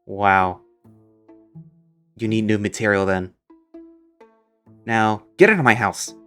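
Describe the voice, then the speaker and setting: A sarcastic homeowner , played by a man, talks in a low, flat, dry voice, unbothered and blunt. Quiet music sits faintly underneath. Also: slow, clean tone.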